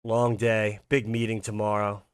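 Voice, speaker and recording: A man's voice: fairly low pitch, flat delivery, measured pace, clear, resonant tone, dry recording, studio quality, lightly acted. Man reading as a clipped, dismissive businessman. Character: tense, dismissive businessman father and struggling writer.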